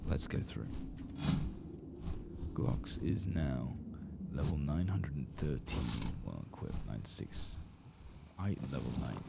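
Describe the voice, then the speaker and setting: A man giving hushed, soft-spoken ASMR commentary as he plays through a game, at times close to a whisper. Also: rumbling low, big pitch swings, takes it slowly. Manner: hushed, sometimes slipping into whisper. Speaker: streamer hosting a game playthrough. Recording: clear background noise and game ambience.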